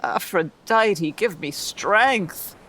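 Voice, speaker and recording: A woman's voice: mid-range voice, lively intonation, drawn-out words. Regal Amazon mother, stern and exasperated, middle register, comic radio play. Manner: formal, stern, long-suffering. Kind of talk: comedy audio drama.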